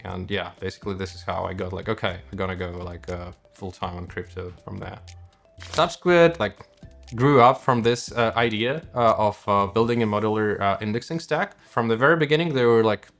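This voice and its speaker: A man from Russia with a Russian accent, a native Russian speaker, explaining technology as a startup co-founder in a halting, hesitant way. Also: fairly low pitch, vocal fry, a bit bright, faint music behind. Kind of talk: explanatory interview talk about technology.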